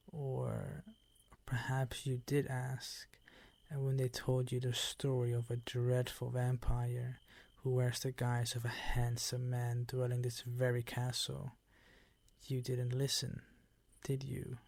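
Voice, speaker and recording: A man voicing a predatory, possessive vampire count in an ASMR roleplay, with formal, teasing, commanding phrasing and a breathy voice that often sinks toward a whisper. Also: mid-range voice, dry recording. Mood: smug, seductive.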